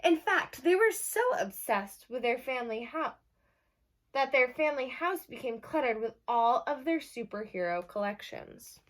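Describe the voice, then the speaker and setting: A woman with a fairly high voice reads a children's story aloud as a teacher would. She speaks directly to young listeners, explaining in simple words and putting questions to them between passages. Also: expressive, moderate pace.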